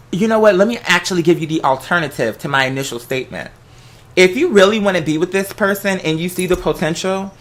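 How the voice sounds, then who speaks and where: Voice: mid-range voice, pushed and bright. An American vlogger giving casual relationship advice in a sassy, playful, theatrical way, often calling the listener girl. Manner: theatrical, bursts into song. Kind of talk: casual animated advice chat.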